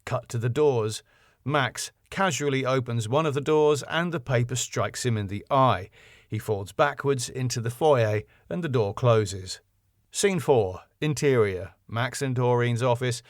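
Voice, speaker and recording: A man's voice: medium pitch, unhurried, no room sound, clean studio sound. A man reading his own sitcom script as narrator, with scene headings and stage directions.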